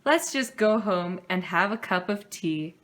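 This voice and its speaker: A woman voicing a calm, homely mother bear from a children's storybook in a gentle, unfussy way. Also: mid-range voice, measured pace.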